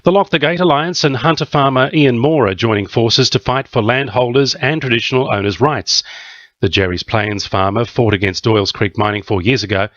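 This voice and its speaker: A man's voice: medium pitch, a little fast, fairly bright tone, no room sound, dull audio. A man with an Australian accent reading a scripted radio news bulletin. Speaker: news reader. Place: dry radio studio.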